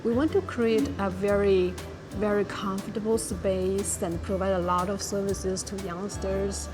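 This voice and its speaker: A woman's voice: medium pitch, steady tone, music in the background. Woman guiding a tour, Mandarin-accented English. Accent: Mandarin Chinese. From Shanxi, China. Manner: halting, self-correcting tour explanation. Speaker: hostel staff guide.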